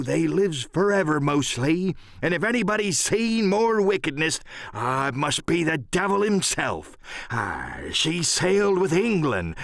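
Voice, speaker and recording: A man's voice: a little high, a bit bright, no echo, studio quality, full character voice. A man playing a sly, folksy old pirate sea cook who drawls in rustic sailor dialect for an audiobook radio play.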